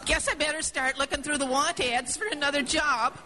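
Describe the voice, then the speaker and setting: A woman playing an anxious old office clerk in a live radio drama, with a drawn-out, theatrical delivery. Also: high-pitched, natural voice. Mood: worried. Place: theatre stage.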